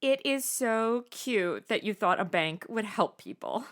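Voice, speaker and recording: Bright young sarcastic slangy modern gal stuck in a western, swoopy and animated. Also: female voice, mid-range voice, unhurried. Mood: flippant, sarcastic. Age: young adult. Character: flippant modern young woman dropped into the Old West. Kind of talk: comedy audio drama acting.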